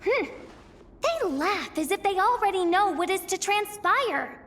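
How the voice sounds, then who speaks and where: A woman's voice: very high voice, sing-song, unhurried, background noise, full character voice. A woman voicing a grandiose young princess in a video game, speaking in pompous, archaic, dramatic phrases and commanding her servants. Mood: wary. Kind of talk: video game character acting.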